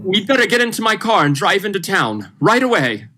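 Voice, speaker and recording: A woman's voice: medium pitch, very animated, a little fast, over music, lightly acted. A woman playing a steadying, practical doctor in a vintage radio thriller, speaking in an earnest, reassuring way. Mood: urgent, concerned.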